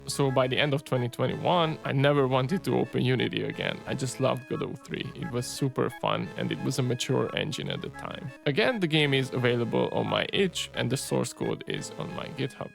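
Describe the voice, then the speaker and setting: Gravelly Hungarian man chatting about games. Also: medium pitch, fairly quick, hardly any pauses, faint music behind. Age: 30s. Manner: relaxed and chatty, peppers speech with "right?" and "you know". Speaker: game developer and channel host. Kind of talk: casual devlog narration over gameplay.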